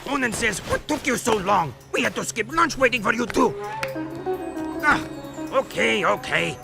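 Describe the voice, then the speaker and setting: High, gravelly, sassy talking bird. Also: male voice, lively intonation, moderate pace, over music, heavily acted. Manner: sassy, squawking, irritable. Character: grumpy, mouthy male talking bird interpreter. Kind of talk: video game cartoon voice acting.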